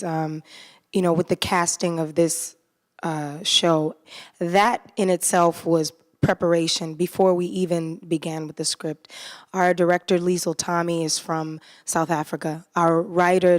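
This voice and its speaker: A woman's voice: on the low side, no echo, studio quality. A woman from the United States, a cast member speaking earnestly and from the heart at a post-show talkback on a theater stage. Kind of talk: post-show panel talk.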